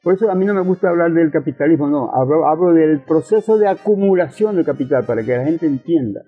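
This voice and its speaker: Older man, Venezuelan accent, raspy higher-pitched voice, didactic, repeats himself, political interview. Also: expressive, fast talker. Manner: didactic, asks rhetorical questions and repeats key phrases. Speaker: interviewed communist politician and professor. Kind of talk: conversational political explanation in an interview. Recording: thin phone-like radio sound with music.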